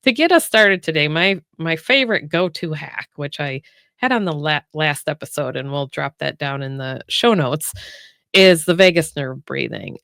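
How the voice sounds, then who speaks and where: A woman's voice: deep voice, fairly bright tone, no room sound. A woman co-hosting a health chat show, nasal from a cold, walking listeners through breathing exercises step by step in a chatty way. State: getting over a cold, nasal. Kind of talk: casual explanatory chat.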